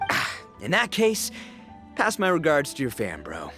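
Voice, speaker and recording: A man's voice: on the high side, wildly swooping pitch, unhurried, bright, forceful tone, faint music behind, heavily acted. A man voicing a brash, goofy, big-hearted young gang boss in a video game, boisterous, slangy and theatrical. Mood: reluctant, generous. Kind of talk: video game cutscene acting.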